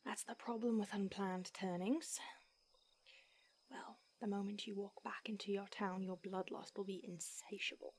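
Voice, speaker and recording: A woman voicing a calm, centuries-old female vampire mentor in an audio roleplay, speaking in a soft, hushed whisper. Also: mid-range voice, moderate pace, breathy edge. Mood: firm.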